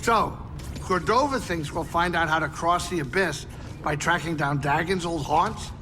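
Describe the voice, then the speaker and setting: Man voicing a high-pitched, wisecracking space buddy. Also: moderate pace, in a large hall, noisy background, close to an everyday voice. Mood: breezy, chummy. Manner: informal, jokey, folksy. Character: chummy, wisecracking male spacefaring buddy, breezy and informal. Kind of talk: scripted video game dialogue.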